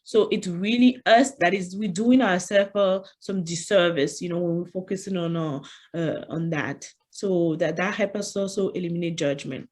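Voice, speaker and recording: A woman's voice: lowish voice, normal speed, darkish tone. A woman with a French accent presenting an interactive online leadership workshop, speaking conversationally and often saying "you know", standing at her computer on a video call.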